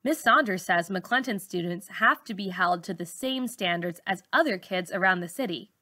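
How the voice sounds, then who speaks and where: A woman reads a children's novel aloud in a bright, forward voice at a medium pitch. She narrates in the first person, as a teacher reading to students. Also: moderate pace.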